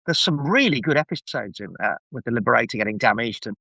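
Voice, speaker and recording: A man's voice: mid-range voice, expressive, fast talker, creaky, pushed and bright, no echo. Enthusiastic man in casual chat, dry sound. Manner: enthusiastic, effusive.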